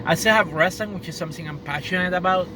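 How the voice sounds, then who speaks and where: A man's voice: high-pitched, quick pace. A man whose first language is Spanish, speaking English with a Spanish accent in a casual vlog monologue, rambling and restarting as his thoughts race ahead. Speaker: vlogger. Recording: loud background noise.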